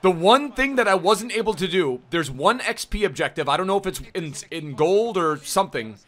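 A young man giving chatty, upbeat commentary on a video game card, his voice a little rough from streaming late, with plenty of fillers, in a small room at a desk mic. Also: high voice, lively intonation, normal speed, fairly bright tone, soft background music. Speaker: gaming YouTuber. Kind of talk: casual game review commentary. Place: small indoor room, gaming setup.

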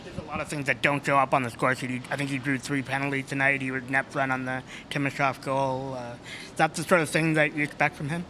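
Flat-voiced male reporter asking questions. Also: mid-range voice, fast talker, noisy background. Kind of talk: press conference questions.

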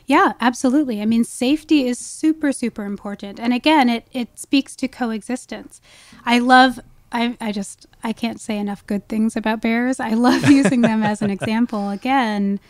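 Woman, somewhat high voice, conversational expert guest, emphatic explaining, dry close recording. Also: normal speed, no echo. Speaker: guest, wildlife ecologist. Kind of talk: conversational podcast interview.

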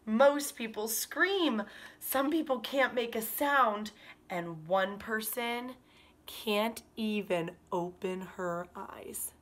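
A woman in her thirties, a kindergarten teacher, reading a picture book aloud to children in an animated, expressive way. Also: a little high. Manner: animated, expressive, drawn-out emphasis on words. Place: small living room by a fireplace, indoors.

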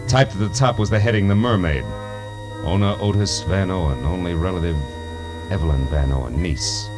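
A man's voice: low-pitched, moderate pace, lightly acted. A man playing a world-weary American private detective, narrating in terse, wry 1940s radio noir style. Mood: tense, observant. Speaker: narrator and lead. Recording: vintage 1940s radio recording with music.

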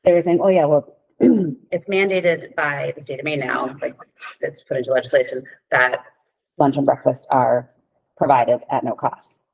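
A woman's voice: a bass voice, fairly even intonation, moderate pace, gravelly, a little mellow, slightly rough, rough recording. A woman at a board meeting in a library room, speaking conversationally as a participant with a question.

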